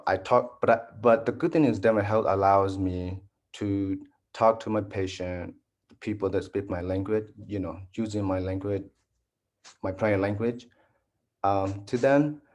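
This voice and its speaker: A man from Burma whose first language is Po Karen, speaking as a dental health navigator on a panel, halting with many restarts. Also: fairly low pitch, fairly even intonation. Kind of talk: panel discussion.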